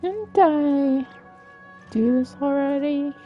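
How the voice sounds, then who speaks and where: A woman's voice: sits high, slow, muffled recording, faint music behind. A woman streaming a video game, casually commenting and dryly talking back to the characters.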